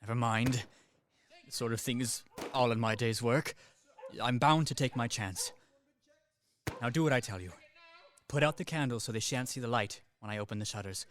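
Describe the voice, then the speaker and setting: A man's voice: medium pitch, normal speed, pauses often, fairly bright tone, slight background noise, natural voice. Man playing a weary, mild-mannered soldier. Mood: tired, resolute. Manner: apologetic, mild, wry, with sighs and hesitations. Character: weary, practical professional soldier, politely self-deprecating. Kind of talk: radio play acting.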